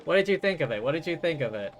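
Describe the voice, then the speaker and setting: A man live-streaming a game, chatting casually and rambling to his viewers, with music softly behind him. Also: on the high side, very animated, racing, noisy background. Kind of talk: casual chat while playing a game. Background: faint music.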